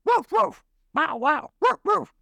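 Guy doing an anxious excited storybook dog, high voice, barky and urgent. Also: takes it slowly, vocal fry, dry recording, full character voice. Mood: barking. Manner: barking, urgent exclamations. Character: excitable, anxious talking dog, big and furry.

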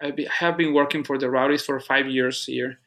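A man from Colombia with a Colombian Spanish accent, a native Spanish speaker, answering as an interview guest in earnest, halting speech that repeats words. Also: medium pitch, steady tone, fairly bright tone. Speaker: guest, soccer club operations manager. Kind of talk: casual interview conversation.

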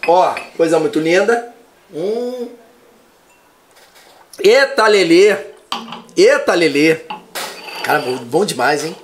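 A man's voice: on the high side, slow pace, long pauses, slight background noise. A man, a lawyer chatting as a podcast guest and telling jokey stories. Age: 30s. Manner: animated, jokey storyteller. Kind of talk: casual chat.

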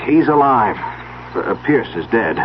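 A man's voice: medium pitch, lively intonation, unhurried, natural voice. An actor playing a matter-of-fact man reporting grim news in a 1970s radio drama. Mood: grim, curt. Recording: muffled, noisy 1970s radio broadcast.

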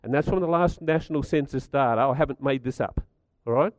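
A middle-aged man with a croaky voice, giving a chatty talk to a live audience and asking them questions. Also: mid-range voice, a little fast, dry recording. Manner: chatty, stats-driven, asks the audience questions. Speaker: speaker at a community gathering.

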